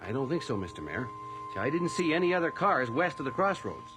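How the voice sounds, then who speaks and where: A man's voice: mid-range voice, big pitch swings, a little fast, music in the background, natural voice. A man acting in a radio drama as an earnest, deferential police constable with an official manner. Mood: earnest, explaining.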